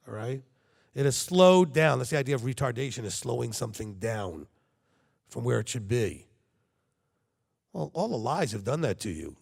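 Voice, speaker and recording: Male congregation leader preaching, gravelly voice, chatty rhetorical style, reverberant hall. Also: medium pitch, a little mellow. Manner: conversational, rhetorical questions, frequent "okay?" check-ins. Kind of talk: sermon.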